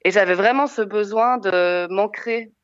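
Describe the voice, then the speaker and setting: A woman's voice: mid-range voice, unhurried, no room sound, muffled recording. A Belgian woman film director, answering interview questions in a hesitant way with many fillers and restarts. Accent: Belgian French.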